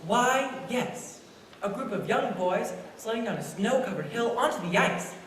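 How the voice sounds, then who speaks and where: A woman playing an eager, naive apprentice angel in an old-time radio play, earnest and excitable. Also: on the high side, a little slow. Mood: excited. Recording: very echoey, noisy stage from far off.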